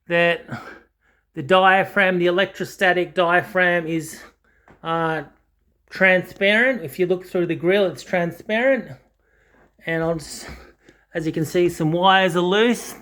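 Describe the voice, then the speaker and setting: A man's voice: high-pitched, fairly bright tone. A man giving a casual, unscripted video walkthrough in a hesitant, rambling way, often correcting himself. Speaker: audio channel host. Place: indoors, small home study.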